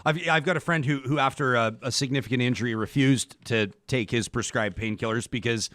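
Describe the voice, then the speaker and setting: A man hosting a conversational interview, speaking haltingly and often repeating words as he rephrases. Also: mid-range voice, fairly bright tone, no echo, clean studio sound. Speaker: talk show host.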